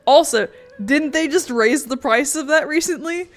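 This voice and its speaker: A woman's voice: high-pitched, moderate pace. A woman game streamer giving casual, rambling commentary in a blunt, sweary, sarcastic way. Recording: music behind the voice.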